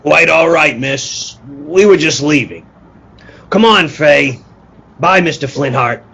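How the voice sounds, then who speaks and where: A man playing a nervous, deferential circus boss in an old-time radio play, with a husky voice and a polite, placating manner. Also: on the high side, slow, pushed and bright, heavily acted.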